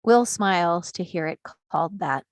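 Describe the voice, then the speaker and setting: Woman narrator, lowish voice, scripted stage directions, screenplay table read, very dry sound. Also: moderate pace, no echo.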